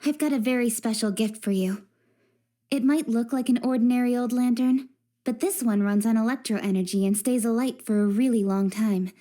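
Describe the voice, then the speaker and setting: An actress playing a principled, impatient but sincere young woman official in an English game dub, speaking in a crisp, earnest, assertive way. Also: on the high side, a little fast, mellow, natural voice.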